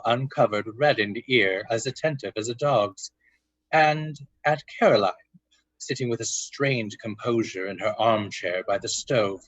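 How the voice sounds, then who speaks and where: A man's voice: medium pitch, fairly bright tone, dry recording. A man narrating a literary radio drama from a script, in a muffled, dry recording.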